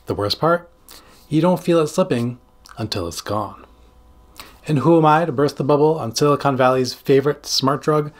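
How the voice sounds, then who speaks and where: A man in his forties, a psychiatrist hosting a scripted explainer to camera with emphatic, dramatic phrasing and a slightly husky voice. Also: on the high side, normal speed. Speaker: psychiatrist and video host. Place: small home studio room. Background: background music.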